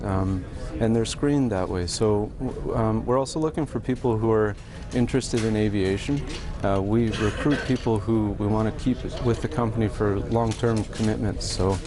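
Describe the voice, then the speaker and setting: A man explaining things in an interview, with halting, repeated starts. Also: on the low side, steady tone. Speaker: air navigation manager being interviewed. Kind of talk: interview answers. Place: air traffic control centre. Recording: busy workplace chatter behind.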